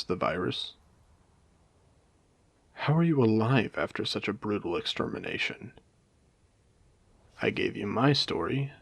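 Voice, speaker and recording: A man playing a wry, courteous AI that was once human, speaking formally and deliberately in a dramatic audio roleplay. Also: lowish voice, creaky, slightly rough, no echo, full character voice. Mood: curious, probing. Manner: articulate, theatrical, deliberate, formal wording. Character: wry, courteous male AI, once human, haunting a ruined casino. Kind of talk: dramatic audio roleplay monologue addressed to a listener.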